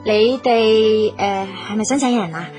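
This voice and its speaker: A woman's voice: on the high side, unhurried, a little mellow, faint music behind, close to an everyday voice. A woman with a Hong Kong accent playing a perky, eager young woman with a secret in a comic radio drama, coy and chirpy.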